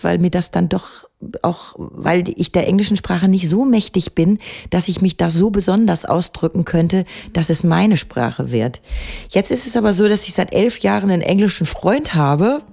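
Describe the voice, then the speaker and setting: A middle-aged woman, a songwriter guest, talking reflectively in an interview and pausing often to search for her words. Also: lowish voice, gravelly, warm tone. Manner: thoughtful, searching for words with many fillers.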